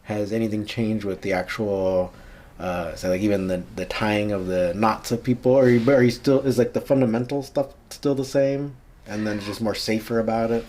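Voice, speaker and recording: Very deep-voiced woman podcast host. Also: moderate pace, warm tone, a little hiss or hum. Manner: rambling questions full of fillers, constant backchannels. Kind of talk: casual interview chat.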